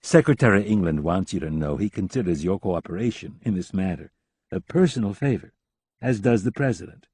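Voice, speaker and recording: A calm, measured male defense official, as a man reads him. He speaks evenly and lower than the other figures. The sound is dry and close. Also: breathy edge, dry recording.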